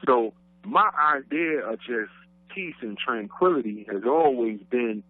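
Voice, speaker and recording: A man's voice: mid-range voice, expressive, measured pace, no echo. A man from Brooklyn with a New York accent, a rapper talking casually about his roots in a laid-back way, full of "you know what I mean.". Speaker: guest rapper. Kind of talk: casual interview talk. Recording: dry, phone-like radio line.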